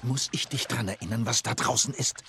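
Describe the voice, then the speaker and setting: An actor playing a gruff, distrustful middle-aged smuggler in a video game, weary and sardonic. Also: male voice, medium pitch, fairly quick, bright, forceful tone, a little hiss or hum. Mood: exasperated. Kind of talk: dramatic video game dubbing. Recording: game music behind the voice.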